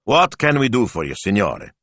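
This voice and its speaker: A man's voice: lowish voice, big pitch swings, normal speed, a bit bright, dry recording, voice pushed up. A man voicing a cheerful male hotel owner who talks in an eager, reassuring way.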